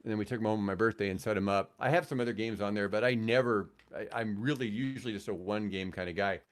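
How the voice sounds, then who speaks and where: An older man with an American accent, telling rambling, animated anecdotes casually as a radio veteran on a podcast. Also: on the low side, fast talker. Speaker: radio host and guest.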